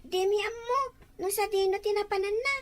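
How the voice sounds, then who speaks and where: Dutiful young Ilocano daughter: tiny, very high, anxious radio drama voice. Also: female voice, steady tone, normal speed. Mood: anxious, plaintive. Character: anxious young girl, dutiful elder sister.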